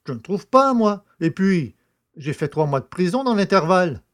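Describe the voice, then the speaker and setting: A man's voice: sits high, very animated, moderate pace, no room sound, clean studio sound. A man playing a plain-spoken, stubborn poacher fresh out of prison in an audio drama. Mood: indignant.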